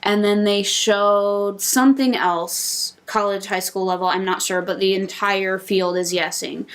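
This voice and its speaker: Casual chat with a woman co-host sitting on a couch at home. she talks in eager, excitable bursts and sometimes tumbles over her own words. her voice sits in a middling pitch. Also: clean tone. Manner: excitable, gushing, fast bursts. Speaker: podcast co-host. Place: indoors on a couch.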